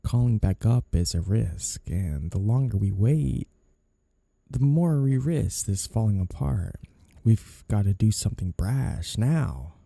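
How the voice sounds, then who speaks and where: A man playing a flirty, coolly threatening mafia boss in an intimate ASMR roleplay, with a low gravelly voice. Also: animated, slow pace, mellow, no room sound, full character voice. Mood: threatening, smug. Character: flirty, menacing male mafia boss, smooth and sly.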